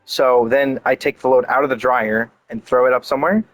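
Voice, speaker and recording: A man's voice: mid-range voice, steady tone, brisk. A man giving casual gameplay commentary, chatting and thinking aloud in a rambling way. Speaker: game streamer.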